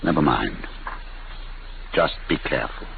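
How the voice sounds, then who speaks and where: An actor playing a weary, intense older inventor in a 1950s radio drama, terse and grave. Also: male voice, lively intonation, unhurried, heavily acted. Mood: grave, guarded. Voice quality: deep, gravelly. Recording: very noisy, muffled old radio recording.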